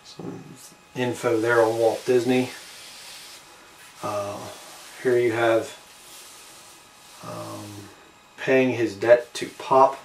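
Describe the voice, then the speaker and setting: Casting: man, medium pitch, casual amateur narrator, unhurried and halting, echoey room with faint noise. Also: expressive. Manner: unhurried, halting, trailing off between short phrases. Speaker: amateur video maker showing a collectible. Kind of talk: casual show-and-tell narration to camera. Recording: roomy, distant mic with faint background noise.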